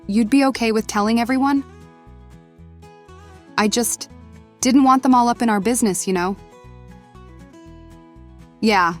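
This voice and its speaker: A woman playing a guarded, no-nonsense but fair-minded woman in an animated web series, with a dry, measured manner. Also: a little high, lightly acted. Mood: thoughtful.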